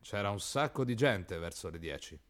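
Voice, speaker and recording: A man's voice: fairly low pitch, animated, normal speed, natural voice. A man acting in an absurdist radio play as an inquisitive, literal-minded tea stall man, questioning and repetitive. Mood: puzzled, inquisitive. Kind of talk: absurdist radio drama dialogue.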